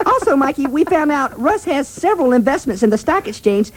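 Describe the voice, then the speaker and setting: A woman's voice: high-pitched, big pitch swings, brisk. A woman playing a theatrical, gushing gossip columnist giving a comic radio report. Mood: catty, mock-serious.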